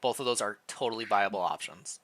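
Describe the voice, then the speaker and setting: A man hosting a livestream, switching between casual, playful chat and reading fan fiction narration aloud, often thinking out loud. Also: on the low side, a little fast.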